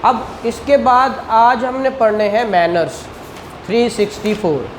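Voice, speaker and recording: A man's voice: sits high, a little slow. A man with a Pakistani accent lecturing on law to a class in a didactic way, putting questions to students and repeating key phrases. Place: indoor classroom. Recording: echoey room with clear background noise.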